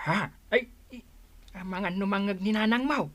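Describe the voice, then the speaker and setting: A man's voice: high voice, normal speed, fairly bright tone. A man playing a naive, henpecked old village father in an Ilocano radio drama, hesitant and exclaiming, with an Ilocano Filipino accent. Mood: flustered.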